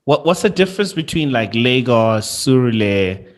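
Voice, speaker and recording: A man's voice: medium pitch, big pitch swings, normal speed. A man hosting an interview in an enthusiastic, casual way, often repeating words as he gets started. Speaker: interview host.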